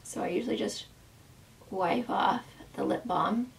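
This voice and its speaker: A woman's voice: medium pitch, animated, unhurried, vocal fry, far from the mic, very noisy. A woman chatting through a makeup tutorial as a former makeup artist, talking in a casual way full of fillers, losing her voice so that it often cracks.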